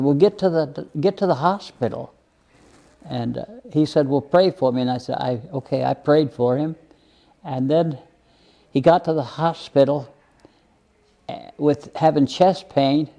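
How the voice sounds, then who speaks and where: An elderly man speaks slowly and reflectively in an interview. His voice is gravelly and soft-edged, with a mellow tone. He halts and repeats words as he tells his plain-spoken personal stories. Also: medium pitch. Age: over 80. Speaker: interview guest, retired minister.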